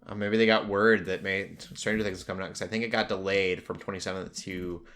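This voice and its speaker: A man's voice: low-pitched, fairly even intonation, quick pace, fairly bright tone. A man hosting a casual pop culture podcast, talking in a rambling way that drifts into tangents. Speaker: podcast host.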